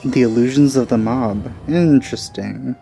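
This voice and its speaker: A man giving casual, low-key, rambling let's play commentary on a video game. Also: normal speed, vocal fry, warm tone. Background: faint game music.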